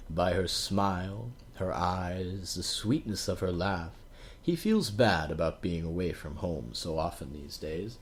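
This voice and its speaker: A man with a deep voice reads an audiobook. His delivery is wry and conversational, and he speaks straight to the listener like a guide. His intonation rises and falls a lot over a faint background hiss. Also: a little mellow. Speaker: audiobook reader. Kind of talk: audiobook narration.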